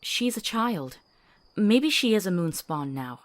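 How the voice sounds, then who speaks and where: A woman playing a compassionate, sharp-tongued companion to a monster hunter in a fantasy visual novel, earnest and articulate. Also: medium pitch, very animated, slow. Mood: troubled, pleading.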